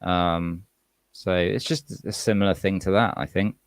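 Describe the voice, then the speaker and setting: A man's voice: low-pitched, fairly even intonation, measured pace, gravelly, dry recording. A man with a British accent and a slight American twang, chatting casually and reflectively as an interview guest. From England, UK. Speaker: rapper, podcast guest.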